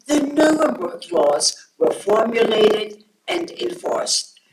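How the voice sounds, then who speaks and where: An older woman from Germany, giving a measured, formal talk to students in a school hall, picked up by a distant room mic. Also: mid-range voice. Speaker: guest speaker. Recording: echoey hall from far off, noisy.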